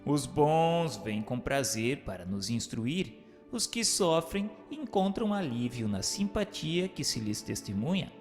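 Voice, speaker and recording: A man reading scripted notes and questions for a radio drama with formal, measured diction. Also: fairly high pitch, very animated, faint music behind. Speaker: reader posing the questions.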